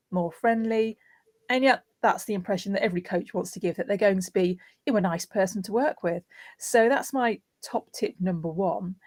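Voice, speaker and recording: A woman's voice: medium pitch, dry recording. A woman speaking as a guest voiceover artist, giving practical tips conversationally and illustrating points with everyday examples.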